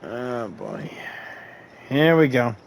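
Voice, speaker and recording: A man's voice: medium pitch, very animated, extremely slow, gravelly, a little hiss or hum, rough recording. A man doing casual gameplay commentary with joking, wry asides. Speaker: game streamer.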